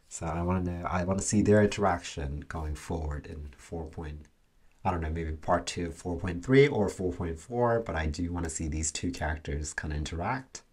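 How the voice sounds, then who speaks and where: Deep-voiced young man, casual commentary. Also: husky. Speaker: reaction video host.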